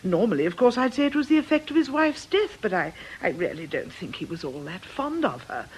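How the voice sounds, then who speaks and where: An actress playing an earnest, fretful church deaconess, proper and hesitant, in a radio drama. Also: female voice, mid-range voice, big pitch swings, fairly quick, breathy edge, poor audio. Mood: worried, hesitant.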